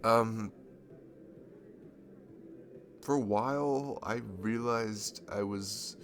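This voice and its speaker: A man acting a nervous, smitten young man in an intimate ASMR roleplay, speaking in a halting, stammering, bashful way. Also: fairly low pitch, fairly bright tone, a little hiss or hum. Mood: nervous, hesitant. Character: nervous, smitten young man confessing to his childhood best friend.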